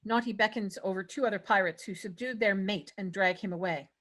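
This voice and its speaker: A woman's voice: mid-range voice, fairly quick, fairly bright tone. A woman reading stage directions as the narrator at a script table read.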